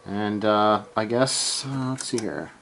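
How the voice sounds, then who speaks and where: A man giving a casual, hands-on gear demonstration, halting and unscripted as he thinks aloud from behind the camera in a room at home. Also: fairly low pitch, animated, a bit bright. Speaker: amateur radio hobbyist, presenter. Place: small room at home, indoors.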